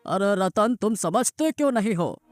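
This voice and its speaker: A man's voice: sits high, lively intonation, normal speed. A man playing an old village farmer father in a cartoon moral story, admonishing and worried. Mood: scolding. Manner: exhorting, admonishing. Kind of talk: animated moral story dialogue. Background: background music.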